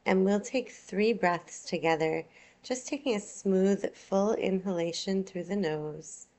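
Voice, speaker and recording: A woman teaching yoga nidra, guiding a relaxation meditation in a soothing, unhurried, gentle way. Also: medium pitch.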